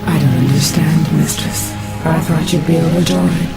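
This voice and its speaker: An actress playing a dutiful, eerie undead banshee servant in a video game, deferential, with a creaky voice. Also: female voice, deep voice, fairly even intonation, normal speed, music in the background, low-quality recording. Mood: puzzled, deferential.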